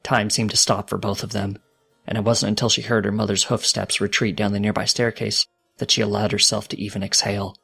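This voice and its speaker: A man reading fiction aloud as a dramatic, solemn narrator with a deliberate delivery. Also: lowish voice, flat delivery, gravelly, pushed and bright, husky, faint music behind. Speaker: fanfiction narrator.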